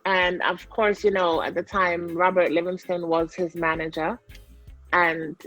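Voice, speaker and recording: A woman's voice: fairly low pitch, level tone, a little slow, fairly bright tone, highs cut off, soft background music. Chatty woman with a light Jamaican accent. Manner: chatty, rambling, many fillers and restarts. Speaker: singer, interview guest. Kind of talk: casual storytelling in an interview.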